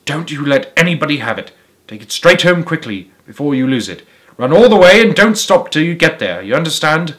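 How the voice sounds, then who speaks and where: A man voicing a fat, kind-hearted male shopkeeper who barks orders at a crowd and speaks warmly to a boy. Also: a little high, sing-song, brisk, pitched above the performer's own voice. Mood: urgent. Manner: loud and commanding, then gentle whispering.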